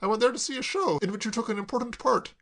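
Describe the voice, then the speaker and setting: A man voicing a sharp young man who answers with dry sarcasm. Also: very high voice, fast, voice pushed up, full character voice.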